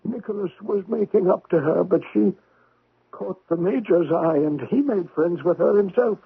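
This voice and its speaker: Raspy, high-voiced shifty steward. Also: male voice, normal speed, heavily acted. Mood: insinuating. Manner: obsequious, hesitant. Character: deferential, shifty male household steward. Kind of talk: 1970s radio drama acting. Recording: tinny vintage radio broadcast.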